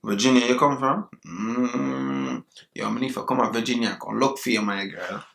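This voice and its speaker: A man's voice: mid-range voice, normal speed, gravelly, fairly bright tone. Guy faking a jamaican accent, slangy and flirty, echoey room on a phone. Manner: teasing, flirty, slangy patois put-on. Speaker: prank video host. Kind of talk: putting on a Jamaican accent on a chat app.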